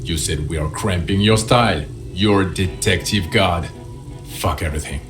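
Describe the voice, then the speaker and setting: Guy acting a cynical cop in a video game, deep voice, blunt and sneering, music under it. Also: normal speed, lightly acted. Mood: bitter, angry. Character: bitter, abrasive, cynical male police detective. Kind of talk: dramatic video game dialogue. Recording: music behind the voice.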